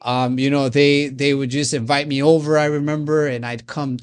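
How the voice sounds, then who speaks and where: A man from Chicago hosts an interview in a relaxed, rambling way. He keeps circling back and repeating himself as he talks, and his voice sits at an ordinary middle pitch. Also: normal speed, smooth. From Chicago, United States. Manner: loose and repetitive, full of fillers and restarts. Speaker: podcast host. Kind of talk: rambling casual interview chat.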